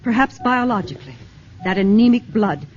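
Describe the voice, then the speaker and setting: Lab scientist, male, animated and eager; vintage radio sound. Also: sits high, normal speed, a little hiss or hum. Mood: excited. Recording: muffled vintage radio broadcast.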